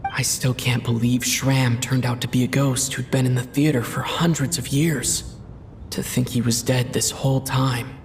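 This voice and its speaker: Man playing a creaky, musing game companion. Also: mid-range voice, little pitch movement, moderate pace, faint music behind, close to an everyday voice. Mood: bemused. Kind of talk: video game voice acting. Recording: clear background ambience noise. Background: ambient noise.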